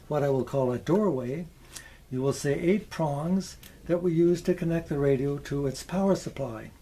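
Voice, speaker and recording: A man with a fairly high, mellow voice narrates a show-and-tell video as its presenter. He speaks in careful, short phrases with a folksy touch. His delivery is slightly theatrical, with a wry sense of humour. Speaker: presenter, vintage radio collector.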